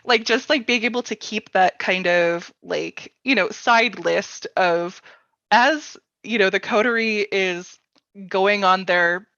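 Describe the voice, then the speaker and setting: A woman chatting casually as a workshop panelist about storytelling, in halting phrases peppered with "like". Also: medium pitch, a bit bright. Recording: roomy echo, slightly dull sound.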